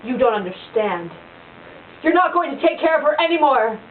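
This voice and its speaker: A woman's voice: high-pitched, expressive, normal speed, distant mic. A woman voicing an earnest, lovesick rich suitor in an amateur puppet show, in an insistent manner. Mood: pleading. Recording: tinny lo-fi home recording with hiss.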